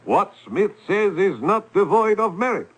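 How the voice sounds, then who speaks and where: An actor playing a starving, melancholy, grandiose painter in a radio drama, solemn and theatrical. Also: male voice, high-pitched, expressive, measured pace, fairly bright tone, heavily acted. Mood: bleak, resolved. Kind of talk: old-time radio drama acting.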